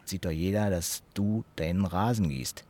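A man's voice: low voice, normal speed, dark tone, no echo. An actor playing a nosy, accusing village man in a radio play, speaking colloquially and confrontationally with a Berlin-Brandenburg accent. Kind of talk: naturalistic German radio play acting.

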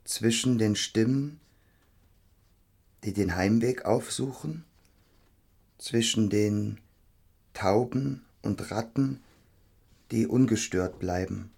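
An actor playing a shy, self-doubting young poet in an intimate radio drama, halting and terse. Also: male voice, on the low side, creaky, darkish tone, breathy edge, full character voice. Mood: hesitant, solemn.

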